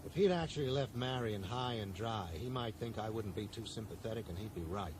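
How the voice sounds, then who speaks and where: A man's voice: lowish voice, expressive, fairly quick, lightly acted. Guy playing an earnest man in a radio drama, shaken up and defensive, noisy old recording. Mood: defensive, firm. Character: shaken, defensive American man, loyal to his friend.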